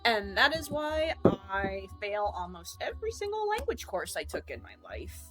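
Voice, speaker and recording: A woman's voice: mid-range voice, wildly swooping pitch, moderate pace, music in the background. A woman streamer in casual rambling chat, jokey and self-mocking.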